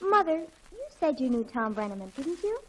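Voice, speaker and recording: A woman acting an excitable teenage daughter with an American accent, gushing and melodramatic, in a 1940s radio sitcom. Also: high-pitched, brisk. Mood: pleading, frantic. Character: excitable, dramatic teenage daughter in a family comedy.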